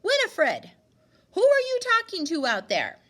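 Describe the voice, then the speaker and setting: A woman voicing a suspicious, blunt old grandmother with a sharp, indignant manner in a storybook reading. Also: very high voice, wildly swooping pitch, unhurried, pitched above the performer's own voice, heavily acted. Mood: calling out, sharp. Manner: sharp, retorting.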